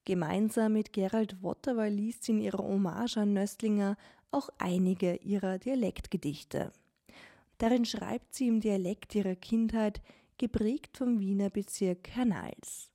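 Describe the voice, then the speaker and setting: A woman radio reporter narrating a cultural feature in an even, measured way. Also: mid-range voice. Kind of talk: scripted narration.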